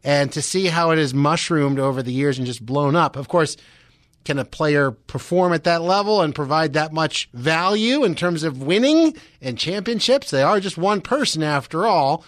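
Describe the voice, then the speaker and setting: A man's voice: on the high side, expressive, moderate pace, fairly bright tone, no room sound, studio quality. A man hosting a radio show, giving sports and weather updates in a conversational way. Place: radio studio.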